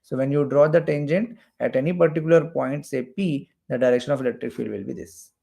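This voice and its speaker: A man's voice: medium pitch, brisk, vocal fry, dark tone. A man teaching physics in an explanatory lecture, repeating phrases for emphasis as he goes. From India. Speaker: physics teacher for exam preparation. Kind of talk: explanatory lecture while drawing diagrams.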